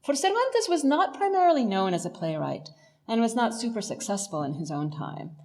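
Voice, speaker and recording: A woman lecturer with a fairly high voice and lively pitch movement. She is articulate and dryly funny, stressing her points. A long hall reverb surrounds her, with faint music behind. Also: a little fast. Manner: wry, articulate, emphatic. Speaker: professor, lecturer. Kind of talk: scripted lecture with dry humour.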